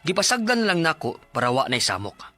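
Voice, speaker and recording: An actor with a Cebuano accent playing a harried 33-year-old husband who narrates his own story in a 1990s radio drama and bursts into loud, high-pitched outbursts. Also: very animated, a little fast, soft background music. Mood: earnest. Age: 30s.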